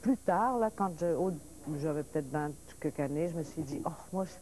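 A woman in her sixties born in Paris, a lively talk-show guest whose French mixes Parisian and Quebec speech, telling stories with restarts. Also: low voice, brisk, slight background noise, rough recording. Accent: mixed Parisian and Quebec French. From France. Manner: lively storyteller, restarts and laughs, points her words. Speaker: actress guest. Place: TV studio set, seated at table.

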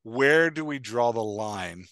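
A man's voice: mid-range voice, lively intonation, slow, fairly bright tone. A middle-aged American man hosting a casual podcast, posing questions in an informal, colloquial way on a headset. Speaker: podcast host and coach. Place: room indoors on a video call.

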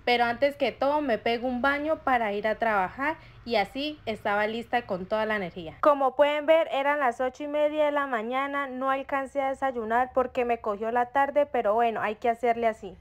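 High-voiced young woman narrating. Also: fairly quick, few pauses. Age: young adult. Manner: chatty, upbeat storytelling. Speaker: vlogger. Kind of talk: voice-over narration of a day.

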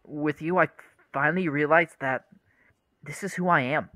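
Radio play lead: male actor as self-doubting young man, hesitant, confessional, slightly high bright voice, dry close recording. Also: moderate pace, dry recording. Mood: tender.

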